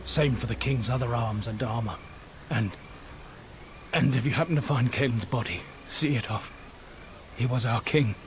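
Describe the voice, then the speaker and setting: Actor as weary, regretful dying soldier, halting. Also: male voice, medium pitch, slight background noise, close to an everyday voice. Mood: solemn, urgent. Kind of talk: dramatic video game acting.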